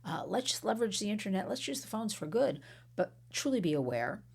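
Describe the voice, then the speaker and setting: A middle-aged American woman, hoarse and losing her voice, giving an emphatic, rambling talk as an anti-trafficking advocate. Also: medium pitch, sing-song, a little fast, darkish tone.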